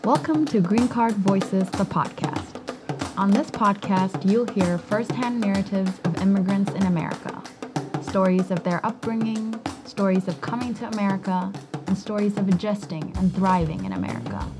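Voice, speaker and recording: A woman's voice: mid-range voice, normal speed. A woman from Ethiopia reading scripted narration as the host of a podcast. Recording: music under the voice. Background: background music.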